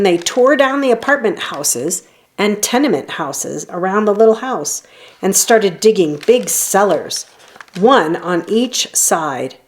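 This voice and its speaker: Woman reading a storybook with lively pitch. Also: slight background noise. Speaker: storybook reader. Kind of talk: children's read-aloud narration.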